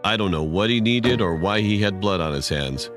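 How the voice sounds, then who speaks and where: A man's voice: low-pitched, expressive, normal speed. A man reading a scripted horror story as its narrator. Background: music.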